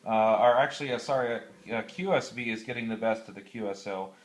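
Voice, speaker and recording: An American man talking casually on amateur radio, explaining methodically and haltingly, with radio procedure words. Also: on the low side. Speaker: classroom teacher.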